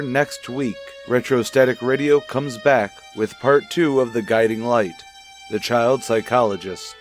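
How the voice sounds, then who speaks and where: A man reading a scripted outro as the announcer and host of a radio drama show. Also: mid-range voice.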